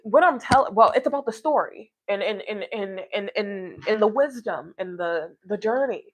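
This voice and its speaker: A woman's voice: medium pitch, animated, moderate pace, rough recording. A woman talking casually and reflectively to livestream viewers, rambling and emphatic, often checking that her listeners follow her. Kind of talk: casual personal livestream chat, reflective and motivational.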